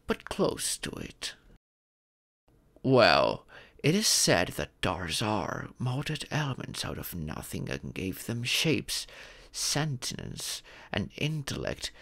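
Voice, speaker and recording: A man playing a fatherly dragon mentor in an ASMR fantasy roleplay, patiently telling stories and teaching magic in a gentle, measured, instructive way. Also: medium pitch, gravelly, exaggerated voice. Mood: warm storytelling. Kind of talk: ASMR audio roleplay, scripted fantasy storytelling.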